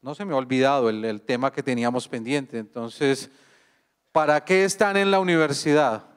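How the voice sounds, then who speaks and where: A man with a Colombian accent giving a university lecture, speaking slowly and deliberately and putting questions to the audience. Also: medium pitch, clear, resonant tone. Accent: Colombian Spanish. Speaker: guest speaker. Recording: long hall reverb.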